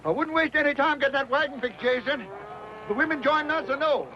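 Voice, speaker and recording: An actor playing an alert wagon-train scout in a western radio drama, with rustic frontier phrasing. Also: male voice, extremely high pitch, brisk, noisy background. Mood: brisk, wary. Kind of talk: old-time western radio drama acting. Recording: dull old radio recording with music.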